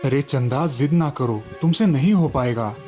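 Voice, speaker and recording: A man voicing a young village husband, doubtful but supportive and plain-spoken, in an animated story. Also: mid-range voice, moderate pace, faint music behind, lightly acted.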